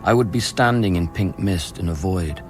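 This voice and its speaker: Man acting very deep-voiced, brooding scientist. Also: moderate pace, over music, close to an everyday voice. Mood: haunted. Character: brooding, haunted man of science, tender yet irritable. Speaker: lead actor and first-person narrator. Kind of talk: radio play, intimate literary narration and dialogue.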